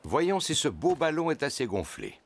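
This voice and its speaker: An actor playing a jolly, easygoing daddy pig who chuckles good-naturedly in a cartoon. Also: male voice, fairly high pitch, sing-song, moderate pace, slight background noise. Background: cartoon music.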